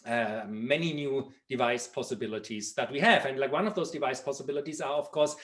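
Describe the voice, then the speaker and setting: A man's voice: mid-range voice, brisk, a bit bright. A middle-aged man from Germany with a German accent, giving an academic seminar lecture with frequent fillers and restarts. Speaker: professor giving a seminar talk.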